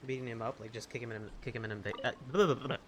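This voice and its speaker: A man giving casual let's-play commentary, rambling and reacting aloud in an excitable way. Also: medium pitch, racing, crowd noise. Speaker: gaming video host. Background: game music.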